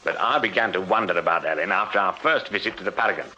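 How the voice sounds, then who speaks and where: Composed Victorian police sergeant, muffled audio. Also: male voice, low-pitched, bright, forceful tone. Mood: measured explaining. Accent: British. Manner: measured, dryly authoritative, politely formal. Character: shrewd Victorian police sergeant, unflappable male detective. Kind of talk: BBC radio period drama acting. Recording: muffled, very noisy radio drama recording.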